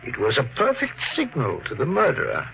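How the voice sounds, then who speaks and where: An actor playing a calm, menacing killer with measured, formal politeness in a 1950s radio thriller. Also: male voice, a little high, slight background noise. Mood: uneasy. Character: calm, menacing male killer posing as a family friend. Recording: muffled vintage radio broadcast with music.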